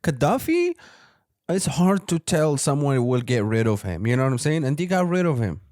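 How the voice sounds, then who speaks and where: A man's voice: a little high, very animated, normal speed, a little mellow, no room sound, studio quality. A man from Morocco co-hosting a podcast, talking casually and rambling, often tagging his points with "you know what I'm saying". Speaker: podcast co-host. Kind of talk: casual chat.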